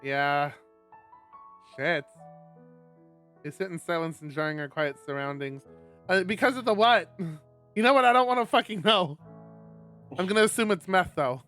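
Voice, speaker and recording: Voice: medium pitch, moderate pace, long pauses, fairly bright tone, soft background music. A streamer reading a visual novel aloud on a live stream, narrating and breaking off for exasperated, sweary commentary.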